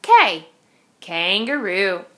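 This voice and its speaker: A woman reading a rhyming picture book aloud to young children in an expressive, deliberate, sing-song manner. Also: medium pitch.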